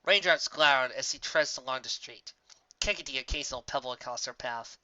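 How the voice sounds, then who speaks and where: Amateur male story reader, bright and tense, occasionally tripping on words, close dull home recording. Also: medium pitch, a little fast, no echo. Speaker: fan fiction reader. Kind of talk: scripted story narration.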